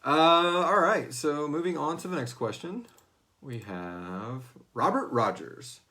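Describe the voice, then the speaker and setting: A man's voice: mid-range voice, big pitch swings, a little slow, pushed and bright. A man hosting a casual question-and-answer chat, speaking informally and loosely, with some swearing. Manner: informal, swearing, acts out quotes. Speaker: host and series creator.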